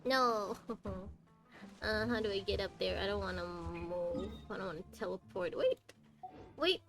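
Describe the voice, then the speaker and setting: A woman's voice: mid-range voice, animated, a little slow. A woman streaming a video game with casual commentary, making wry asides and talking back to the characters. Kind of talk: casual live gameplay commentary. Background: game music.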